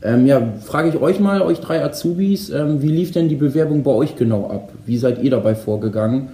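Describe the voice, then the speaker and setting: A man's voice: a little high, fairly quick, dark tone. A man hosting a company podcast, greeting guests in a friendly, casual way with frequent filler sounds. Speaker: podcast host. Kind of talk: casual moderated podcast chat. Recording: roomy echo.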